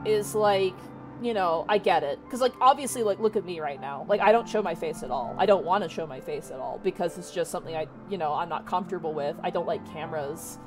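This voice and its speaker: A woman with a naturally low voice, rambling casually with lots of fillers while streaming. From Canada. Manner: rambling, many fillers and restarts. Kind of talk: casual chat on a livestream.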